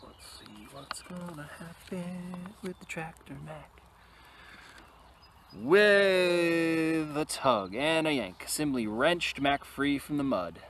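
A man reading a picture book aloud expressively to young listeners, with casual, quieter asides, recorded outdoors. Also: on the high side, expressive, takes it slowly. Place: outdoors in an open field. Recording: noisy outdoor recording with ambient sound.

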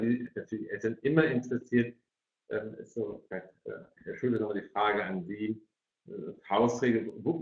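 Middle aged guy hosting an online talk, low voice, stumbly repeats, boomy echoey call. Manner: halting, repeats words as he forms questions. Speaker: moderator of an online parents' evening. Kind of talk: informal webinar moderation. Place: indoor room on a video call. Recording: echoey room, dull video-call audio.

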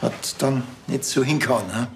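An actor playing a laconic, sardonic Bavarian police detective with a deadpan delivery. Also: male voice, medium pitch, normal speed, a little hiss or hum, lightly acted. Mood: businesslike, dry. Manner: dry, deadpan.

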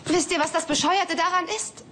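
A woman's voice: very high voice, fairly even intonation, moderate pace, sharp tone. An actress playing an emotional, unpretentious young neighbor woman in a German-dubbed sitcom. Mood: tearful, upset. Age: young adult. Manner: emotional, informal. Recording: noisy TV soundtrack with ambience.

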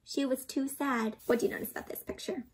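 A young woman doing an interactive storybook read-aloud for children, expressive and gently teacherly, often putting questions to her listeners. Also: high-pitched, fast, heavy background noise. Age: young adult. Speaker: children's storybook reader and host. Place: small indoor room with books and plants.

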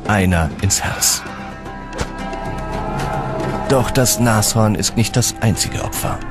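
Male narrator, low register, dramatic scripted documentary read with clear music underneath. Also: slow pace.

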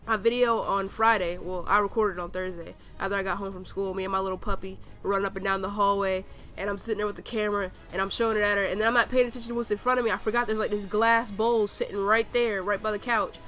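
A woman's voice: mid-range voice, steady tone, fast, fairly bright tone, faint music behind. A young adult woman with a nasal, congested voice from a cold, rambling casually through gameplay commentary with slang and swearing. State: sick with a cold. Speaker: gaming video creator.

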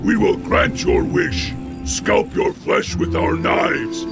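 An actor playing a hostile, savage orc warrior in a fantasy game, shouting menacing threats. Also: male voice, sits high, big pitch swings, slow pace, gravelly, over loud music, full character voice.

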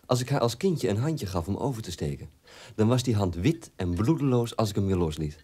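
An actor playing a wounded, unloved grown son in a radio drama, telling it plainly and deliberately. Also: male voice, lowish voice, very animated, mellow, husky. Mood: bitter, wounded.

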